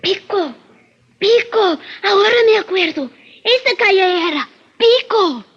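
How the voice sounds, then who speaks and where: A woman playing a defensive, chatty young witness in a 1950s radio drama, expressive in her delivery. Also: very high voice, measured pace, heavily acted. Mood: defensive, eager. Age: young adult. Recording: dull vintage radio recording.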